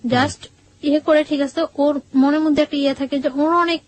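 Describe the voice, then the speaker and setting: A woman telling her life story as an interview guest, in a conversational, halting way, quoting dialogue as she goes. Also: sits high, fairly even intonation.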